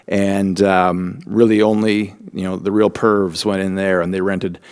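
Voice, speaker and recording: Man with a lowish voice introducing a church show. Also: darkish tone. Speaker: host. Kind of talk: scripted introduction.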